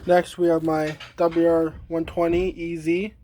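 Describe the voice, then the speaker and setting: A man giving a casual, unscripted demonstration as a hobbyist, halting and trailing off mid-thought. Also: a little high, completely flat delivery, dark tone, slight background noise. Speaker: hobbyist demonstrating a weather radio collection.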